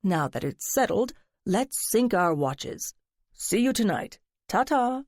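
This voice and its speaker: A woman's voice: mid-range voice, big pitch swings, slow pace, a little mellow, no echo, clean studio sound, full character voice. A woman narrator voicing a flamboyant, dramatic man who drawls "darling" and talks with theatrical flourishes. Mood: flirty, playful. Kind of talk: audiobook character voice.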